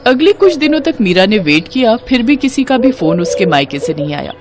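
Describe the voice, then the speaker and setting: A woman's voice: a little high, big pitch swings, brisk, faint music behind. A woman narrating a scripted cartoon family story.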